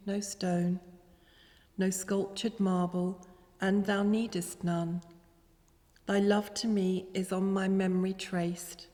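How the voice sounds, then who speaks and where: A woman reads verse with solemn, measured care. Her delivery is slow and quite flat in pitch. A long hall reverb follows her words. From England. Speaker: poetry reader. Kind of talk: poetry recitation.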